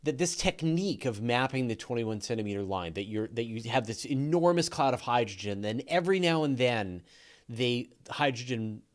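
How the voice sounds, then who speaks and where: Enthusiastic Canadian podcast host. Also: male voice, mid-range voice, expressive, moderate pace. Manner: enthusiastic, jokey, restarts often. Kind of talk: interview.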